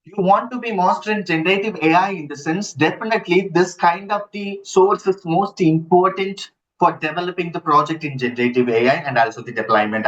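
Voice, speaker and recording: A man giving a live online lecture, halting and repeating himself as he checks in with listeners, using his computer's built-in mic. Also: on the high side, fairly bright tone. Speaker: instructor. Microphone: built-in computer mic, no headset. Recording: dull lo-fi computer audio.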